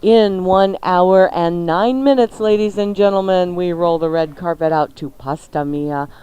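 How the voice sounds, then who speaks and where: A woman's voice: lowish voice, a little slow, warm tone, clear, resonant tone, highs cut off. A woman co-hosting a radio show, playful and chatty, mixing casual banter with live ad reads. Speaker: radio cooking show co-host.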